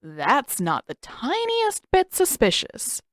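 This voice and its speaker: A woman's voice: high-pitched, wildly swooping pitch, takes it slowly, no echo, clean studio sound, voice pushed up, full character voice. A woman voicing a cheeky, smug teenage boy in an audio story, nosy and teasing. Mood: suspicious.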